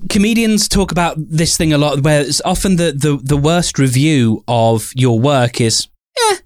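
A man co-hosting a podcast in casual discussion, explaining things in a tentative, measured way. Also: a little high, no room sound, studio quality. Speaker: podcast co-host.

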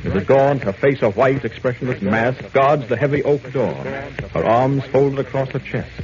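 A male narrator delivers suspenseful scripted narration in 1940s radio style. His pitch is medium. The recording is muffled, with busy background sound. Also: moderate pace, a crowd in the background.

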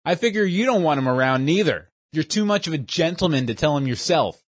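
A man's voice: a little high, lively intonation, brisk, dry recording, clean studio sound. A man voicing a schoolyard bully boy who taunts and mocks others. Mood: taunting.

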